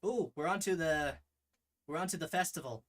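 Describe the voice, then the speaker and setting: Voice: mid-range voice, normal speed. A streamer reading a visual novel aloud in a casual way, dropping in offhand asides between character lines. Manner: switches between character voices and offhand remarks.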